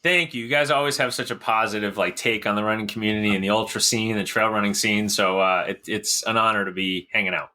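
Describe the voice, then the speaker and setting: Guy from connecticut being interviewed, bright punchy voice, chatty, stops and starts a bit. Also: on the low side, fairly even intonation, fast, hardly any pauses. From Connecticut, USA. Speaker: podcast guest, race director. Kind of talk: casual interview chat.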